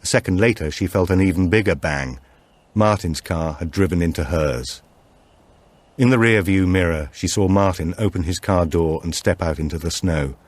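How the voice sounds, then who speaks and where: A man reading a supernatural thriller as an audiobook narrator, in a measured, deliberate storytelling manner. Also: deep voice, dry recording.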